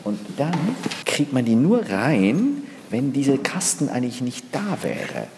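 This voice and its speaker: A man explains things step by step like a backstage guide, with lively swings in pitch. He is recorded in a long, reverberant hall with clear noise behind him. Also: measured pace. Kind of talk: explanatory anecdote on location. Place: large reverberant space inside a theatre.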